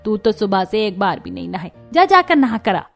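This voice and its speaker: A woman's voice: mid-range voice, big pitch swings, moderate pace, faint music behind. A woman playing a nagging, thrifty middle-aged mother-in-law in a cartoon story, sweetly bossy as she gives instructions. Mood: defensive, chiding. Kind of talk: Hindi cartoon story dialogue.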